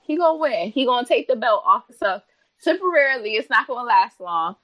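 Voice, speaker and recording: A woman's voice: a little high, very animated, a little fast, fairly bright tone, dry recording. A woman co-hosting a wrestling podcast, blunt and sardonic, swearing freely in casual chat. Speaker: podcast co-host.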